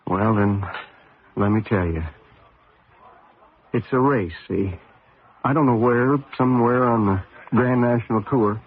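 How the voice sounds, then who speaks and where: An actor playing a troubled, devoted husband and former race driver in a radio mystery drama, speaking in a halting, emotional way. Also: male voice, lowish voice, husky. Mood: brooding. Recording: muffled narrow-band old radio recording.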